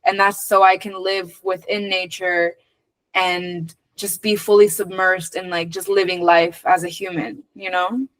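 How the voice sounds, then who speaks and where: A woman from Venezuela who grew up in the United States, talking casually and reflectively as an interview guest, earnest and emphatic, pausing to choose her words. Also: on the low side, level tone, fairly bright tone, no room sound. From born in Venezuela, moved to the United States very young. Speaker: podcast guest.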